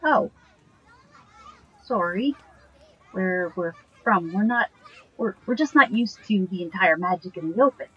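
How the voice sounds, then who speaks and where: Woman playing very breathy, curious young magical girlfriend. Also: mid-range voice, expressive, measured pace, pauses often, dull audio. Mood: apologetic, curious. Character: curious, apologetic, softly teasing magical girlfriend. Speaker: voice actor in an audio drama. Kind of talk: audio drama acting.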